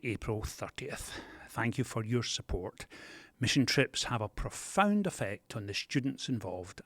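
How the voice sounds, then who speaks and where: Lively man hosting a church podcast. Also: mid-range voice, no echo, clean studio sound. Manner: animated, gently joking delivery. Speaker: pastor and podcast host. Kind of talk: church podcast announcements and interview.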